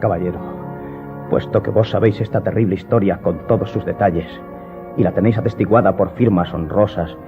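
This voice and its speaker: A proud young gentleman of radio drama, acted by a man, solemn and formal. The voice sits low and each phrase is deliberate. The sound is narrow and muffled, with music playing behind it. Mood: grave, demanding. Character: proud, honor-bound young French gentleman, grave and formal. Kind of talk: Spanish-language radio drama acting. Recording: muffled narrow-band audio under music.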